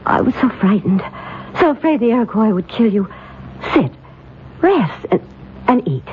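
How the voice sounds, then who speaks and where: A solicitous wife, animated, highish voice. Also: female voice, moderate pace, slight background noise. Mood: tender, worried. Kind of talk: old-time radio drama acting. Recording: thin, phone-like old radio recording.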